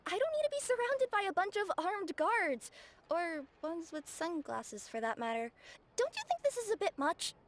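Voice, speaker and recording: An actress voicing a sweet, modest, anxious young courier in a video game, speaking politely and hesitantly. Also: female voice, extremely high pitch, big pitch swings, moderate pace, slight background noise. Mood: reluctant, modest.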